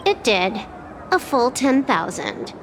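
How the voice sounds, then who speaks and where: A woman's voice: fairly high pitch, big pitch swings, takes it slowly, crowd noise, background noise. An actress playing a cool, dryly teasing young woman hacker in a video game. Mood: cool, amused. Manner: nonchalant, dry, sly. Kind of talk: video game character acting.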